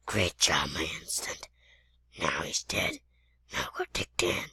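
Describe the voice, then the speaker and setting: A woman voicing a menacing, gloating villain in an amateur horror story, with a harsh, hissing rasp and slow threats. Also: a bass voice, wildly swooping pitch, pushed and bright, no room sound, heavily acted. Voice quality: harsh, hissing, raspy whisper. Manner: slow, threatening, repeating phrases. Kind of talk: amateur improvised horror play-acting.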